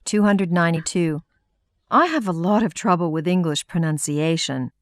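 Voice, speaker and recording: A woman with an American accent reading numbered practice sentences for an English lesson, clear and measured. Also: on the low side, lively intonation, no room sound, studio quality.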